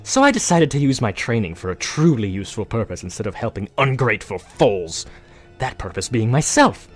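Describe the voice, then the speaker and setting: A man's voice: medium pitch, sing-song, fairly quick, faint music behind. A man playing a bitter, power-hungry pony guard turned traitor, sneering theatrically in a cartoon audio drama. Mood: bitter, resentful. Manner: sneering, theatrical villain monologue. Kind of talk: scripted audio drama acting.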